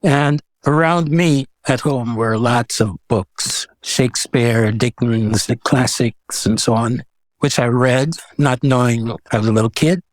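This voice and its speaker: A man's voice: mid-range voice, a little slow, no room sound. A man, speaking reflectively and casually as an artist being interviewed. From Guyana. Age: 70s. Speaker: guest, visual artist.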